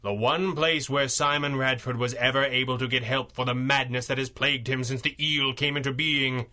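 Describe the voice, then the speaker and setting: An actor playing a confident, shrewd masked superhero, speaking formally and eloquently in a 1930s-style radio serial. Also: male voice, mid-range voice, steady tone, moderate pace, sharp tone. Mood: pressing, triumphant.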